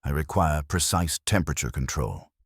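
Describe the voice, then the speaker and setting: A man voicing a haughty, fastidious middle-aged detective in an audiobook, with pompous, formal pronouncements. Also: rumbling low, expressive, husky, no echo, studio quality, full character voice. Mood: stubborn.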